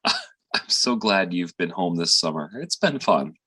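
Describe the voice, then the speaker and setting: A man acts out a devoted Southern father in a stage drama. His voice is slightly raspy and moves widely up and down. He talks tenderly and coaxingly, like a parent soothing a grown child. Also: deep voice, very animated, a little slow, bright, forceful tone, exaggerated voice. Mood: teasing, fond. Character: gentle, devoted Southern father, small-town doctor. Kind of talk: stage play dialogue, audio performance.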